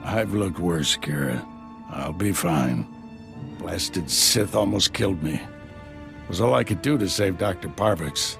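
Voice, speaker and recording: Jedi master: very low, creaky, battle-worn, dryly commanding game hero mentor. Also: male voice, expressive, unhurried, music in the background, full character voice. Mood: weary, wry. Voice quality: gravelly. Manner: dry, wry, commanding. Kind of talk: video game cutscene acting.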